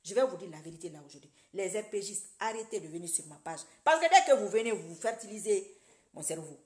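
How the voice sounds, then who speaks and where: Preachy, animated woman in an echoey room. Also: quick pace. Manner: animated, preachy, addresses viewers directly; jumps between loud emphatic bursts and low muttered asides. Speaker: social media commentator. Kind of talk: casual political monologue to followers.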